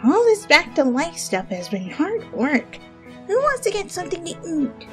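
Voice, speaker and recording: A woman's voice: very high voice, big pitch swings, normal speed, faint music behind, pitched above the performer's own voice. A woman voicing a brash, hungry undead pegasus mare in a dramatic story reading, with a slangy, pushy, wheedling manner. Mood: breezy, upbeat.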